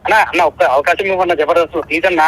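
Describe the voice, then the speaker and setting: Guy on a phone, super fast, sharp bright voice, excitable, some noise. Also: fairly high pitch, husky, no echo. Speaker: stranger receiving a prank call. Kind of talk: casual phone chat. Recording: phone call with background noise.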